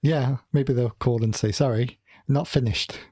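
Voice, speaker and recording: A man's voice: mid-range voice, fairly even intonation, a little slow, mellow, husky, dry recording. A man from Wales with a Welsh accent, co-hosting a casual podcast and rambling with dry humour and frequent self-corrections. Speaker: podcast co-host. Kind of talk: casual chat.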